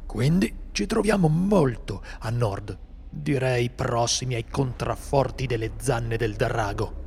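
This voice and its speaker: A man playing a seasoned, solitary monster hunter in an amateur radio drama, speaking gravely and steadily. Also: medium pitch, takes it slowly, darkish tone, full character voice. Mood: grim. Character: seasoned male monster hunter, brooding loner.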